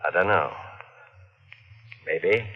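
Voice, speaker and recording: A man's voice: low voice, very slow, full character voice. An actor playing a cold, scheming husband who narrates his own crime, speaking in a hard, curt, sardonic way in a 1950s radio drama. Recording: dull vintage 1950s radio recording.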